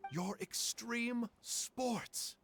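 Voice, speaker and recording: An actor playing a theatrical, chatty uncle figure who tells stories in a melodramatic, wheedling way for a video game. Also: male voice, very high voice, very slow, a bit bright, heavily acted. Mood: coaxing, chatty. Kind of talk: video game character acting. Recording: game music behind the voice.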